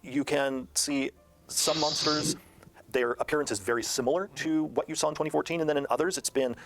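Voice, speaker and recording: A man speaking as a game designer in a promotional discussion of a rulebook, halting often as he explains. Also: fairly high pitch, steady tone, a loud crowd, rough recording.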